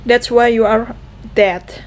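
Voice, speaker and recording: Young Indonesian woman streamer, slightly high voice. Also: steady tone, takes it slowly, a little hiss or hum. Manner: playful, giggly, mixes languages. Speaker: game streamer reacting to a story quest. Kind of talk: casual live gameplay commentary.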